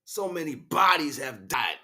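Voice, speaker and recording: A man delivering an impassioned, improvised spiritual monologue, preachy and grandiose, swinging between hushed asides and loud, emphatic declarations. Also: on the high side, very animated, unhurried. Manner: preachy, grandiose, repeats phrases for weight. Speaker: spiritual speaker, streamer.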